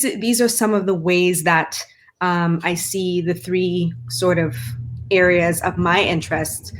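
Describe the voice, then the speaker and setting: A middle-aged woman from Trinidad and Tobago with a Trinidadian accent, a performance coach giving earnest explanations in an interview. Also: on the low side. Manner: earnest, explanatory, uses "right?" and "like" often. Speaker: mental performance coach, guest. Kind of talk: conversational interview.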